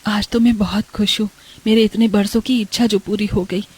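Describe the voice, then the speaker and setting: A woman's voice: medium pitch, fairly even intonation, moderate pace, darkish tone, breathy edge, natural voice. Woman as a kindly older village mother-in-law. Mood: joyful welcome. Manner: affectionate, homely, reassuring.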